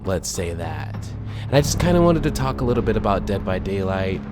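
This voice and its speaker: A man's voice: low-pitched, very animated, fairly quick. A man hosting a casual solo podcast about a video game, thinking aloud in a rambling, hesitant way and sounding a bit phlegmy from a recent illness. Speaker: podcast host. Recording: voice over loud background music.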